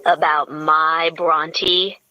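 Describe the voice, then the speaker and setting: A woman's voice: fairly low pitch, moderate pace, pushed and bright, dry recording, low-quality recording. A woman voicing a tense, brusque wealthy man with a growling edge. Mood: strained. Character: strained, tense rich man.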